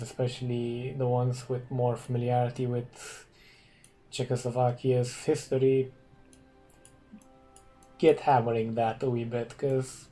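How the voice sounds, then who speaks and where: A Romanian man speaking English as a second language, with a very dark, mellow tone. He muses his way through game commentary, humming and pausing as he thinks, over faint background music. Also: mid-range voice, poor audio. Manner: musing, thinks aloud with frequent hums and pauses. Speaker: gaming commentator. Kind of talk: casual gameplay commentary.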